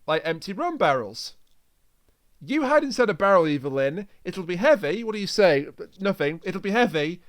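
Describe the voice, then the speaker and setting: A man hosting a podcast, casually recapping a story in a jokey way and acting out bits of dialogue. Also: sits high. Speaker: podcast host.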